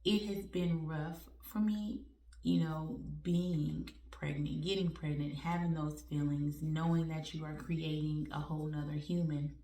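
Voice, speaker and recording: Low-voiced woman in her 40s, chatting open and candid to her viewers, laughs at herself, kinda far off in an echoey room. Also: level tone, a little slow, warm tone. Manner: candid, conversational, laughs at herself, uses y'all. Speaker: vlogger. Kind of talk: personal heartfelt talk to viewers.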